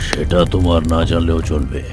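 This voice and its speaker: A man's voice: a bass voice, fairly even intonation, brisk, loud music behind the voice. A man voicing a menacing, domineering monster villain in a fairy-tale cartoon, drawing out gloating threats.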